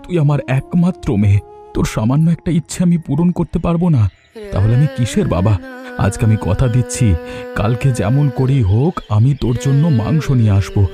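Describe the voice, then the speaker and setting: A man's voice: mid-range voice, expressive, brisk, over music, close to an everyday voice. A man voicing a poor, humble boatman father in a cartoon, speaking with tender, earnest emotion. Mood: earnest, determined. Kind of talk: cartoon voice acting.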